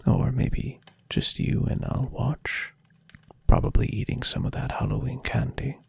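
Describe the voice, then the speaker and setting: A man voicing a tender, adoring and playfully teasing centuries-old vampire boyfriend in an intimate ASMR roleplay, speaking in a soft, husky half-whisper. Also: medium pitch, huge pitch swings, unhurried, full character voice. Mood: relaxed, affectionate. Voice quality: breathy, husky half-whisper with gravelly creak. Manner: soft, soothing, affectionate murmuring.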